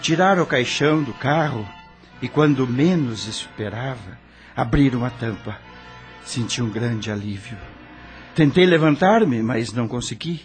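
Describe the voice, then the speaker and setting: An actor playing a dead rock star in a radio drama, tormented and regretful, with a solemn, theatrical Brazilian delivery. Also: male voice, medium pitch, measured pace, close to an everyday voice. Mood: anguished. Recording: music under the voice.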